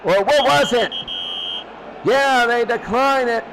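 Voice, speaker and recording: High-pitched man calling football, unhurried, over music. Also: a bit bright, slight background noise, rough recording. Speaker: play-by-play announcer. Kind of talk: amateur football play-by-play commentary.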